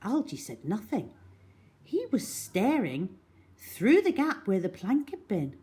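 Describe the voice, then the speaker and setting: Slow, animated woman reading a children's story. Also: medium pitch. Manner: dramatic, animated.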